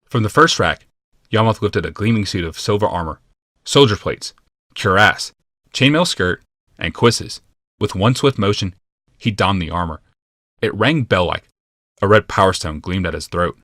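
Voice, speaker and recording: A man narrating a fantasy audiobook from a script in a measured, deliberate and dramatic manner. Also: fairly low pitch, lively intonation, fairly bright tone, no echo, studio quality.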